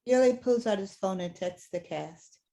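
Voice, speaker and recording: A woman's voice: medium pitch, normal speed, vocal fry, dark tone. A woman reading stage directions as scripted narration for a staged play reading.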